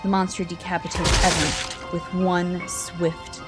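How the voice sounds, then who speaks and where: A woman giving scripted, dry, deadpan narration for a darkly comic audio drama. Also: fairly low pitch, measured pace, over loud music.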